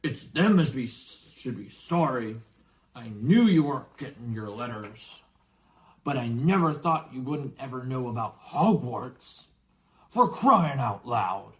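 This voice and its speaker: A man voicing a huge, gruff, friendly giant gamekeeper from a storybook, hearty and blunt, with rustic colloquial talk. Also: mid-range voice, sing-song, slow pace, low-quality recording. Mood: indignant, loud. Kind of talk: storybook character voice.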